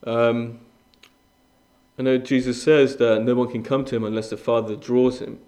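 A man's voice: mid-range voice, flat delivery, moderate pace, warm tone. A young man from Iran speaking as a guest preacher, sharing a personal testimony in an earnest, conversational way with frequent fillers and restarts.

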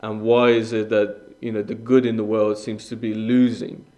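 A man's voice: on the low side, flat delivery, normal speed, warm tone. Earnest young man giving a sermon. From Iran. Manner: earnest, conversational, with frequent fillers and restarts. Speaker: guest speaker. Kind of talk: sermon with personal testimony.

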